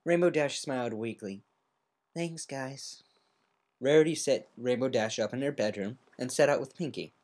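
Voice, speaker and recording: Low-voiced woman narrating a story. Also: a little fast, few pauses, a little mellow. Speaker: amateur fan fiction reader. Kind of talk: semi-dramatic scripted story narration.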